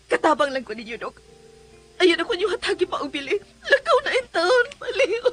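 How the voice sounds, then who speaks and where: A woman's voice: extremely high pitch, big pitch swings, a little fast, a bit bright, heavily acted. An actress with a Filipino accent playing a volatile, tormented woman in a radio melodrama, tearful and overwrought. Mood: tearful, bitter. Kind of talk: Philippine radio drama acting.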